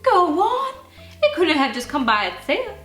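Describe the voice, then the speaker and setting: A woman's voice: extremely high pitch, very animated, measured pace, a bit bright, pitched above the performer's own voice, full character voice. A woman voicing an earnest, eager young boy in a bedtime story, exclaiming in a childlike way. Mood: joyful surprise. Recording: roomy sound with faint music behind.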